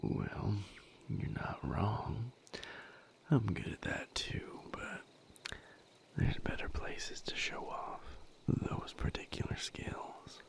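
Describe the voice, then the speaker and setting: A man playing a tender, teasing, battle-worn magical boyfriend in an intimate romantic audio roleplay, soft-spoken and breathy with a gravelly edge. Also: lowish voice, wildly swooping pitch, heavily acted. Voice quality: gravelly, breathy, half-whispered. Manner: unhurried, soft-spoken, intimate murmuring to a partner.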